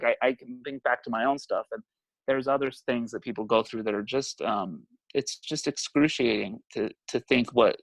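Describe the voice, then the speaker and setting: A man's voice: mid-range voice, dry recording, poor audio. A man in his late thirties, a family therapist talking candidly as an interview guest, reflective, laughing often and swearing casually. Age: 30s.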